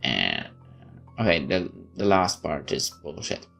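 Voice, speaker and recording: A man's voice: low voice, very animated, slow, vocal fry, a bit bright. A man doing a let's play, reading a game's story text aloud with casual asides. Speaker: game streamer reading aloud. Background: game music.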